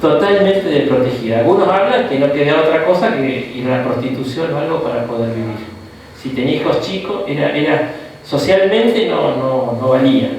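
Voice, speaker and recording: A man with an Argentine accent teaching a Bible class in a relaxed lecture style, often checking in with the room through "¿no?" and short asides. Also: a little high, normal speed. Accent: Argentine Spanish. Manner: conversational, frequent "¿no?" and "¿tá?" tags, asides. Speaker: bishop teaching a Bible course. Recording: echoey room, mic far off.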